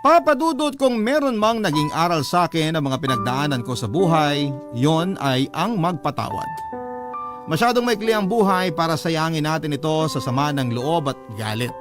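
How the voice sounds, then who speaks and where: A man with a Filipino accent reading a listener's letter as an earnest, measured radio storyteller. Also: a little high, expressive. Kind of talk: dramatic scripted narration. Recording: prominent music bed under the voice. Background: music.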